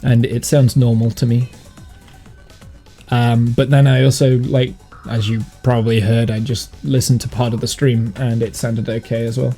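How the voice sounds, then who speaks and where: Slightly croaky man streaming with music behind. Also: medium pitch, flat delivery, moderate pace, mellow. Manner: rambling, thinking aloud. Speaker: live streamer and game master. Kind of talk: casual gaming livestream chat. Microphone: streaming mic monitored through headphones.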